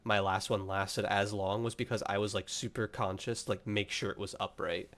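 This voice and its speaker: A man's voice: fairly low pitch, little pitch movement, moderate pace, a bit bright. A young man co-hosting a podcast, chatting casually and rambling with lots of "like", sounding a bit congested from a cold. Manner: rambling, heavy use of filler "like". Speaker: podcast co-host. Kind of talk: casual chat.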